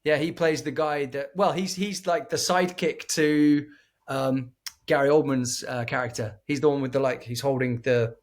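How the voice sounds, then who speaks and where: A man's voice: mid-range voice, fairly even intonation, moderate pace. A man co-hosting a casual film podcast, enthusiastic and often fumbling for actors' names. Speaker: podcast co-host. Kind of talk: casual chat.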